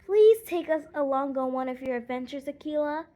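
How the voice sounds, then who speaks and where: An eager young child from a storybook acted by a woman, pitched very high and pleading. The delivery is keen and wide-eyed, as in a children's audiobook. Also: expressive, fairly quick, warm tone. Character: excited, pleading young children, brother and sister. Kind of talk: audiobook character voices.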